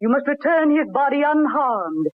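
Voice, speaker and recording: Tinny vintage radio empress spirit. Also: female voice, high voice, sing-song. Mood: stern, urgent. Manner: stately, formal, measured declamation. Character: solemn ancient empress spirit inhabiting a young woman. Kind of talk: old-time radio drama acting. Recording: tinny band-limited old radio recording.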